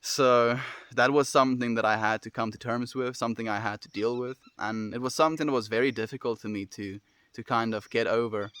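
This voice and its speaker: A young man with a South African accent talks warmly to his livestream chat, gentle and affectionate, often adding "my friend". His voice sits at an ordinary middle pitch. The sound is dry and close, with no room echo. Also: fairly even intonation, a little fast, clean tone. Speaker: livestream host reading chat.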